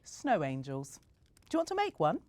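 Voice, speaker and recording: A woman doing a gentle playful mum. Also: deep voice, huge pitch swings, moderate pace. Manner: gentle, teasing. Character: kind playful young mother.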